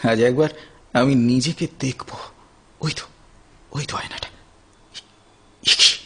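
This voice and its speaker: An actor playing a despairing, sickly young recovering addict in an audio drama, halting and stammering with melodramatic anguish, in a husky, gravelly voice. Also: male voice, on the high side, fairly bright tone, heavily acted. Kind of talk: Bengali audio drama acting.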